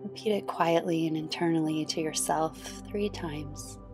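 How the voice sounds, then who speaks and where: A woman guiding a yoga nidra meditation in a soothing, gentle, unhurried manner. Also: low-pitched. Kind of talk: guided meditation. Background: background music.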